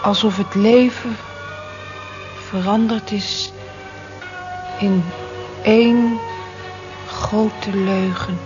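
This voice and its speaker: Disillusioned young woman, very slow halting confession, radio play, clear music behind, dull recording. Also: mid-range voice, fairly even intonation. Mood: sad, disillusioned. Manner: slow, halting, intimate delivery. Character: young woman Molly, wistful, disillusioned, confiding in a letter. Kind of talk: radio drama monologue, letter read aloud.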